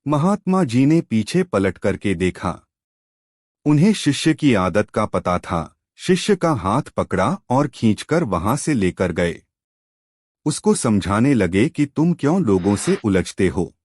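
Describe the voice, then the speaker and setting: A man telling a moral story in an unhurried, expressive way. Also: fairly low pitch, no echo, studio quality.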